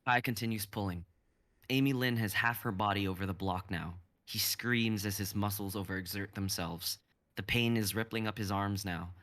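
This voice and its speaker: A man in his twenties narrating a dramatic script reading in a steady, descriptive way. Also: fairly low pitch, dry recording. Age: 20s. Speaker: narrator and reader.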